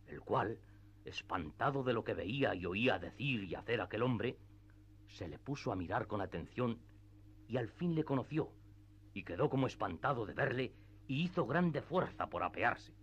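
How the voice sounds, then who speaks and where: Male narrator, lowish pitch, scripted literary storytelling, radio theatre, dull lo-fi recording. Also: lively intonation, moderate pace, breathy edge.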